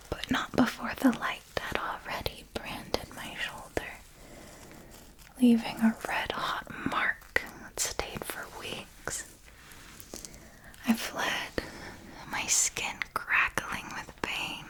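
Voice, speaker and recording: Woman acting as ancient vampire mentor, female, breathy whisper, slow unhurried pacing, seductive, tender, intimate ASMR roleplay. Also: a little high, poor audio. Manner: slow, deliberate, intimate storytelling with pauses.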